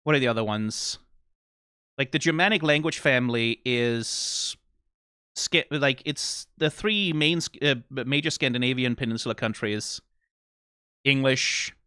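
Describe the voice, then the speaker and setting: A man's voice: medium pitch, unhurried, sharp tone, clear, resonant tone, no room sound, studio quality. A Danish man with a Danish accent hosting a reaction video, rambling casually from tangent to tangent, swearing now and then and often asking "right?". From Denmark.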